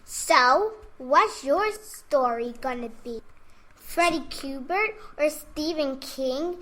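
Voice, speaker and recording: A performer, a woman, voicing a feisty, excitable eight-year-old girl in an acted children's audio story. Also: high-pitched, takes it slowly, full character voice. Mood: cheeky, eager.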